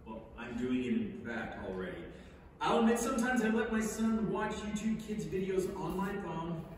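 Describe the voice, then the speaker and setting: A man's voice: high voice, big pitch swings, normal speed. A middle-aged man reading a prepared speech in an emphatic, oratorical way. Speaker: stage reader. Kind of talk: prepared speech read from a podium. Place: large indoor theater stage. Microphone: far camera. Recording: very echoey theater hall, distant mic, hiss.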